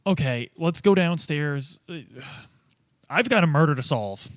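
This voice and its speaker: A man's voice: on the high side, animated, measured pace. A man playing a tabletop role-playing game, improvising his part in casual talk.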